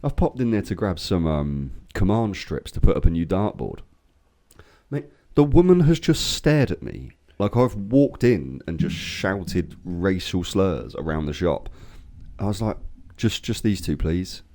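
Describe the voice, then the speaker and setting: An English man co-hosting a casual podcast, swearing freely and telling lively stories while getting over a cold. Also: low voice, a little slow. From England. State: recovering from a cold. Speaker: podcast co-host. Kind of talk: casual chat.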